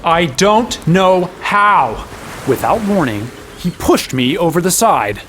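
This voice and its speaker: Young fantasy hero, sarcastic, wry, audio drama narration and dialogue, raised pitch, clear background noise. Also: male voice, big pitch swings, takes it slowly, exaggerated voice. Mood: exasperated. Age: young adult. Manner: wry, exasperated.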